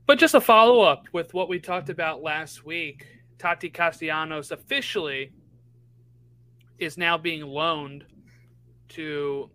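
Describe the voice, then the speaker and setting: A man's voice: fairly high pitch, unhurried, pauses often, bright, forceful tone, smooth, poor audio. A man co-hosting a podcast, talking soccer in a casual, conversational way and often checking in with "right?". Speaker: podcast co-host.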